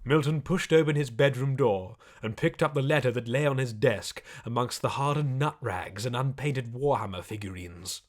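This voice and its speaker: A man's voice: mid-range voice, normal speed, hardly any pauses. A man reading scripted narration for a comic fantasy audiobook.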